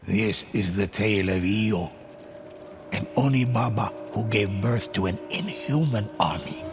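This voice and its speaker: A deep, gravelly legend-teller. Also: male voice, animated. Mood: solemn. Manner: slow, grave storytelling. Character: grave male storyteller of ghost legends. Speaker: narrator. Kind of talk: scripted game narration. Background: music.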